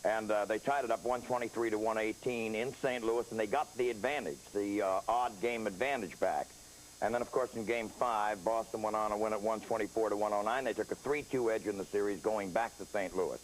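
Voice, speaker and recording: Low-voiced male sports show guest. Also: steady tone, fairly quick, slight background noise, rough recording. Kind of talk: recounting game results conversationally.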